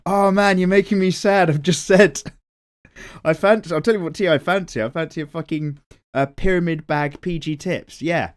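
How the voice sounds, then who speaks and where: A man's voice: a little high, moderate pace. A man with an English accent and a nasal voice, casually rambling and reading viewer comments aloud while he sketches. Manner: chatty and rambling, swears casually. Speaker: art channel host.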